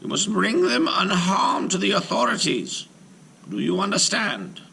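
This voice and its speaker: A man's voice: sits high, wildly swooping pitch, measured pace, gravelly, bright, forceful tone, slight background noise, pitched above the performer's own voice, heavily acted. A man voicing a commanding old rabbi. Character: elderly male rabbi, commanding and solemn.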